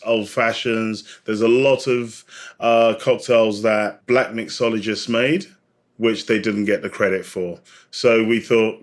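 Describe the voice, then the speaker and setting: Man explaining things as podcast guest. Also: fairly low pitch. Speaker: podcast guest, bartender and author. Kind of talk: conversational interview explanation.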